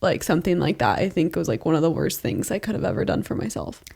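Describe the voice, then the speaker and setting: A woman's voice: low voice, sing-song, fast talker, gravelly, a little mellow, husky. A woman in her late twenties, co-hosting a podcast in casual chat, candid and sprinkled with "like" and the odd swear. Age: 20s. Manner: frequent "like" fillers, candid and profane. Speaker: podcast co-host.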